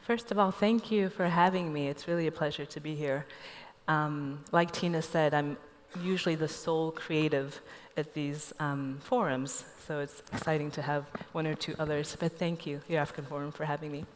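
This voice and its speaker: Low-voiced woman, echoey hall. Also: fairly even intonation, moderate pace, a little hiss or hum. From Nigeria. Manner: reflective, storytelling. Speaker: panelist. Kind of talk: panel discussion.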